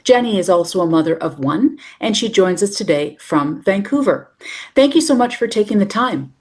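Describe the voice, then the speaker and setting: A woman hosting a parenting podcast, introducing her guest and asking questions in a measured, polished way. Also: mid-range voice, expressive, a little mellow, slightly rough. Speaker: podcast host. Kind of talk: podcast interview.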